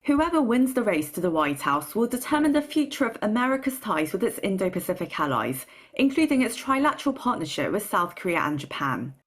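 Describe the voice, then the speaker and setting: A woman narrates a news report in a husky voice of medium pitch. Her intonation moves up and down in a very lively way as she reads the script. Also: fairly quick.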